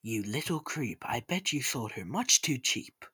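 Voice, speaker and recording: A man voicing a sharp-tongued, short-tempered mother who scolds her boy emphatically in a storybook rhyme. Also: mid-range voice, big pitch swings, measured pace, husky, dry recording, clean studio sound, exaggerated voice. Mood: outraged.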